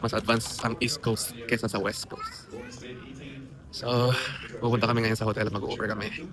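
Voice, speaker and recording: A man's voice: lowish voice, flat delivery, normal speed, crowd noise. A man with a Filipino accent chatting casually as a travel vlogger, switching between two languages. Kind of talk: casual vlog chat mixing Tagalog and English.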